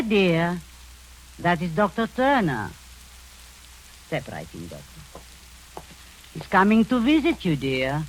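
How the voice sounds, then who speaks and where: A scheming older lady in an old radio play coats every word in syrupy politeness, as an actress gives her voice. Her pitch rises and falls in a lively, sing-song way, with a faint hiss beneath the vintage recording. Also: rough recording. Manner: genteel, honeyed, overly gracious. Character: scheming middle-aged woman, falsely sweet. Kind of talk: 1940s radio acting.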